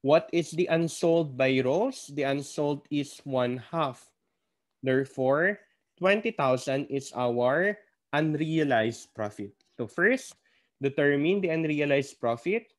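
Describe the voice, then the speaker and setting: A Filipino man with a slightly high voice gives a classroom lecture. He explains things one step at a time, posing questions and then answering them himself in a didactic way. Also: animated, slow. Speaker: accounting instructor.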